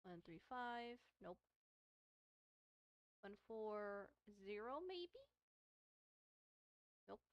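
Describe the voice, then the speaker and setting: A woman's voice: mid-range voice, big pitch swings, extremely slow, pauses often, no room sound, lo-fi sound. A woman chatting casually as she hosts a gaming let's-play, rambling hesitantly and often correcting herself. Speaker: game streamer, host.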